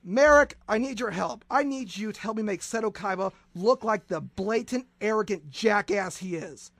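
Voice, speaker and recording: Guy hosting a comedy radio show, high creaky voice, over the top dramatic, repeats himself a lot. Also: normal speed, fairly bright tone, dry recording. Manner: bombastic, stammering with repeated words. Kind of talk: comedic radio interview in mock-dramatic bits.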